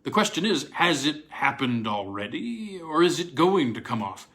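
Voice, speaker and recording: A man reads the part of a measured college fellow from a storybook. He is obliging and precise, hesitating as he picks out details. The voice is bright, with lively pitch movement. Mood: thoughtful. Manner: hesitant, precise, matter-of-fact.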